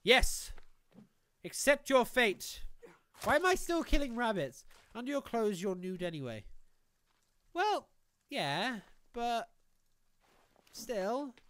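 A young Welsh man with a Welsh accent, streaming a survival game from a small room at home on a headset mic, excitable and joking as he talks to the game and to chat. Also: very high voice, slow pace, long pauses. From Wales. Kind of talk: live gameplay commentary.

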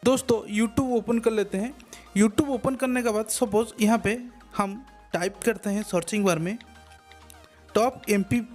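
A man narrates a screen tutorial as the host. He goes step by step in a repetitive, familiar way, addressing listeners as friends. Music plays behind his voice. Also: high-pitched. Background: background music.

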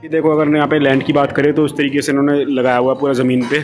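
A man's voice: mid-range voice, level tone, very fast, music in the background. A man vlogging a walking tour of a dog-friendly cafe, explaining everything in a chatty way while filming on his phone. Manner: chatty, explaining as he walks, filler-heavy. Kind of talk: casual walkthrough vlog. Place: dog cafe, indoor-outdoor seating.

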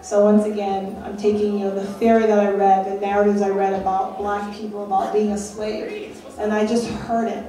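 A woman's voice: mid-range voice, dark tone. A young woman giving a keynote talk from a stage, earnest and questioning, pressing her points with rising emphasis. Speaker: keynote speaker. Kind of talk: public lecture. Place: dark stage at a festival, indoors. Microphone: handheld mic on a stand. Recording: roomy hall from a far camera, audience.

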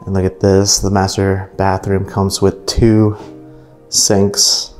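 Man with a flat low voice touring rooms. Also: slow pace, soft background music. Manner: relaxed, easily impressed, filler-heavy. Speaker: urban explorer vlogger. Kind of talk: casual walkthrough narration. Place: indoors, abandoned mansion rooms.

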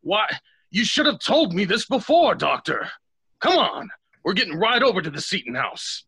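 An actor playing a gruff, flustered sheriff, husky and blustering, in old-time radio style. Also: male voice, high-pitched, sing-song, normal speed, sharp tone, no room sound, clean studio sound, heavily acted. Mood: exasperated. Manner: blustering, exasperated. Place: home room, video call.

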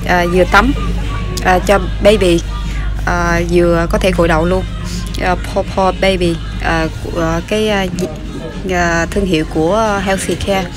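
Woman in her thirties, chatty shopping vlog, close phone mic, store music behind. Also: lowish voice. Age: 30s. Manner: chatty, many fillers and restarts. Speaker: shopping vlogger. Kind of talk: casual product walkthrough. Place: large pharmacy store, indoors. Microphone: phone camera held close.

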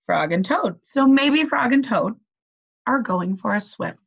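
A young woman, a teacher reading a children's story aloud in an animated way and asking her listeners questions. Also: a little high, a little slow, vocal fry, breathy edge. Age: young adult. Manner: animated, expressive. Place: small bedroom, indoors. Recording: slightly dull webcam audio.

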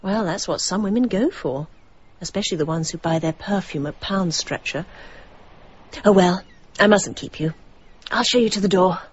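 An actress playing a bored, catty, discontented wife in a radio drama. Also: female voice, lowish voice, normal speed, long pauses, breathy edge, muffled recording. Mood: sly, bitter.

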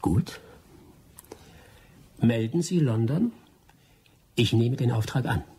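A man's voice: mid-range voice, lively intonation, slow pace, warm tone, slightly rough, heavily acted. An actor playing a proud, determined male adventurer in a German radio drama, speaking bluntly and resolutely. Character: proud, determined male adventurer, British rubber-tree expert.

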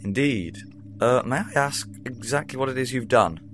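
An actor playing an eccentric, jovial time traveller in an amateur audio drama. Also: male voice, medium pitch, animated, normal speed, slight background noise, close to an everyday voice. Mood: breezy. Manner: airy, jovial, upper-class British.

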